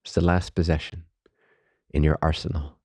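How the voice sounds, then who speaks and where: A man leading a guided meditation as a teacher, speaking softly, slowly and calmly in reverent phrases with long pauses. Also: rumbling low, breathy edge, no room sound. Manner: calm, unhurried, reverent spiritual phrasing.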